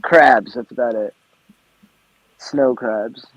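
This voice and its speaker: A man's voice: mid-range voice, slow, breathy edge, dry recording, poor audio. A man on an online panel, chatting in a playful, teasing way. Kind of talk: casual online panel chat.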